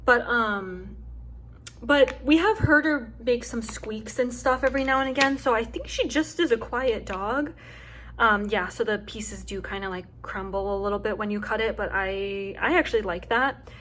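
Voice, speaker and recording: A young woman with a soft, calming voice chatting casually and rambling with lots of fillers as she hosts a craft video at home. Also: fairly high pitch, normal speed, a little hiss or hum. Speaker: craft channel host. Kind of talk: casual chat while chopping wax. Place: home with her dog nearby.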